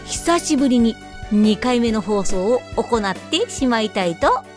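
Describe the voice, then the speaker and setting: A woman's voice: fairly high pitch, animated, measured pace, faint music behind. A woman hosting a radio show, chatting politely with playful exclamations as she introduces a drama.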